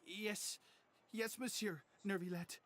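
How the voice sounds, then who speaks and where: Nervous, halting henchman. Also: high-pitched, fairly even intonation, fairly bright tone, a little hiss or hum. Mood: meek. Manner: halting, stammering. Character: timid, guilty male henchman, nervous and submissive. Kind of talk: video game voice acting.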